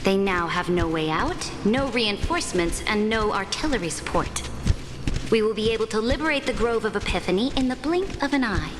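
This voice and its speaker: A woman's voice: medium pitch, expressive, normal speed, lightly acted. An actress playing a proud, calculating tyrant empress in a video game, imperious and formal in her declamatory delivery. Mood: cold, commanding. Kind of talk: dramatic video game voice acting. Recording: long hall reverb under clear music.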